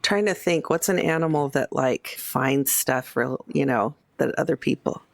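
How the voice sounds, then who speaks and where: A woman's voice: extremely low pitch, moderate pace, vocal fry, dry recording, clean studio sound. A woman playing a tabletop game, chatting casually and describing her character's moves.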